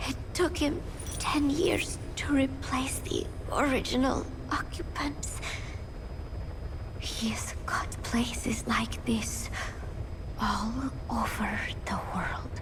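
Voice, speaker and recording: An actress playing a terse young woman assassin who speaks slowly and in hushed whispers. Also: fairly high pitch, steady tone, rough recording. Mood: whispered. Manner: few words, slow, hushed whispering. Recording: game cutscene with background noise.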